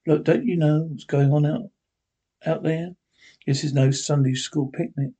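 A man's voice: on the high side, steady tone, unhurried, dark tone, breathy edge, muffled recording. A man voicing a terrified young woman who pleads and repeats herself frantically. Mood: pleading. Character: terrified young woman, grieving lost brother.

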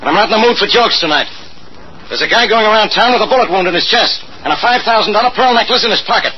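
An actor playing a suspicious, tough American police detective, gruff and sarcastic, in an old-time radio drama. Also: male voice, high-pitched, expressive, fast talker. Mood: suspicious, dry. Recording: muffled, noisy vintage radio recording.

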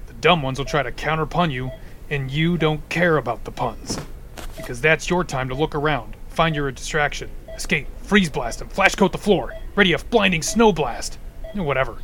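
An actor playing a practical, pun-loving ice supervillain who talks bluntly and dryly in an audio drama. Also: male voice, fairly high pitch, a bit bright, slight background noise, natural voice. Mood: wry. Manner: dry, blunt, cracks cold puns.

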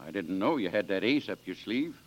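An American actor playing a hearty, sociable small-town judge in a 1940s radio drama. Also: male voice, on the low side, animated, a little fast. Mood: hearty, apologetic. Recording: muffled 1940s radio broadcast with music.

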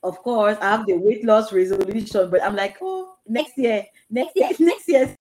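A woman's voice: medium pitch, moderate pace, a little mellow, a little hiss or hum, poor audio. A woman from Cameroon, a guest calling into a podcast, chatting cheerfully. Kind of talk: casual podcast chat. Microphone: calling in remotely.

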